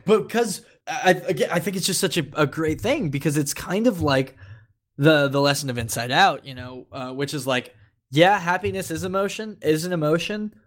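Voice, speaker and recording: Guy cohosting a podcast, voice a bit on the high side, emphatic casual talk, swears a bit. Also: moderate pace. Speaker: podcast co-host.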